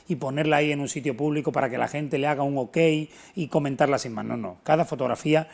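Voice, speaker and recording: A man's voice: medium pitch, fast talker, vocal fry. A middle-aged man, giving earnest, explanatory and emphatic answers as an interview guest. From Antequera, southern Spain. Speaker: interview guest, local historian. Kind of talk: unscripted television interview answers.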